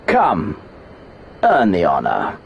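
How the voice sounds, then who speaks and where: Deep, languid, arrogant warlord prince. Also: male voice, wildly swooping pitch, slow, vocal fry, husky, lo-fi sound, full character voice. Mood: mocking, bored. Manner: haughty, languid, theatrical, archaic diction. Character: arrogant, bored warlord prince who hunts for sport. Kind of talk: dramatic video game voice acting.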